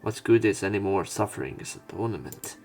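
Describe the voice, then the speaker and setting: A man's voice: fairly low pitch, expressive, fairly quick, a little mellow. A man reading a visual novel script aloud as let's play narration, acting out the story. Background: faint game music.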